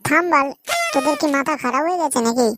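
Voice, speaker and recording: A woman's voice: high voice, quick pace, background noise, lightly acted. A woman voicing a crude, bossy young village lad who hatches schemes. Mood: scheming. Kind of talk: comic cartoon voice acting.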